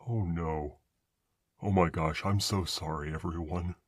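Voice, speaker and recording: A man playing a gentle, anxious young monster student in an audio roleplay, earnest and apologetic. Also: sits low, fairly even intonation, a little slow, dry recording, studio quality. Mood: anxious, guilty.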